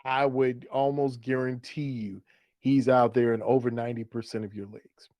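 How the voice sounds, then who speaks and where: A man's voice: medium pitch, little pitch movement, normal speed, darkish tone, no room sound. Man bantering as a sports co-host, close-up sound. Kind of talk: casual fantasy football banter.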